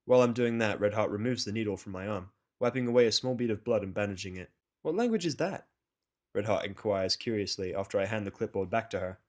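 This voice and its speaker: A man's voice: on the low side, steady tone, fast talker, hardly any pauses. A man reading a first-person fan fiction story as narrator, with a blunt, sardonic delivery.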